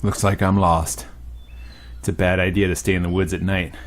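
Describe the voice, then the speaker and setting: A man reading a visual novel aloud as a let's play host, casual and profane, now and then bursting into shouts. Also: deep voice, level tone, normal speed.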